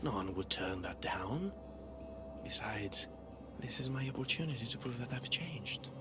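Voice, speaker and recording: An actor playing an oily, falsely humble traitor in a game cutscene, speaking formally and smoothly, slipping into near-whispers. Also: male voice, mid-range voice, animated, lightly acted. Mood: smooth, scheming. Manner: smooth, ingratiating, formal, deliberate. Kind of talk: video game cutscene acting. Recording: loud music behind.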